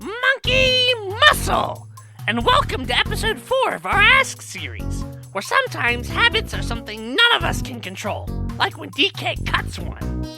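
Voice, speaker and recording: A woman's voice: very high voice, huge pitch swings, unhurried, creaky, music in the background, exaggerated voice. A woman voicing a young male monkey sidekick, cheeky and chatty, in a cartoon audio drama.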